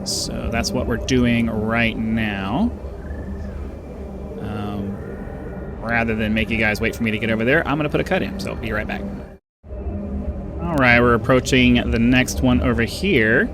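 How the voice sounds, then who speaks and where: A man with a nasal voice hosting a casual let's-play, rambling and chatty, with a bass-boosted desktop microphone. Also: a little slow, long pauses, noisy background. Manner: rambling, chatty, with frequent uhs and little laughs. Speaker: gaming channel host. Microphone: desktop microphone with bass boosted by an equalizer.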